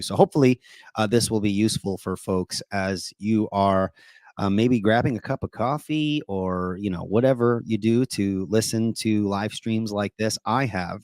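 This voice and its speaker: A middle-aged man hosting a casual live stream, talking softly and deliberately quiet, rambling with plenty of fillers. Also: lowish voice, dry recording. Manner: soft-spoken, deliberately quiet to save his voice. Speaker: host of apologetics live stream.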